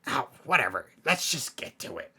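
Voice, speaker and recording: A man voicing Cerberus, a gruff, grumbling hellhound who hosts bedtime stories, with a gravelly, raspy voice. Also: medium pitch, sing-song, moderate pace, sharp tone, heavily acted. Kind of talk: scripted skit banter and horror storytelling.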